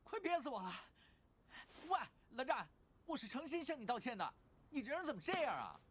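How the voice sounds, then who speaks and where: Cheeky young man, wildly swooping pitch. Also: sing-song, fairly quick, a bit bright, husky, exaggerated voice. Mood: exasperated. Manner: playful, exaggerated, teasing. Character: mischievous, cheeky young man, playful teasing student. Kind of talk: animated series voice acting. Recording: background music under the dialogue.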